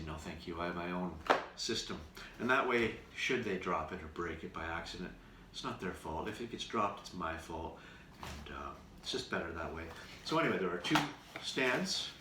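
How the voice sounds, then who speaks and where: Male vlogger, lowish bright voice, deliberate casual delivery, recorded off-mic in a roomy space. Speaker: vlogger, radio show performer. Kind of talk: casual unboxing commentary to camera. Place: room in his house. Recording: roomy echo, distant mic, faint noise.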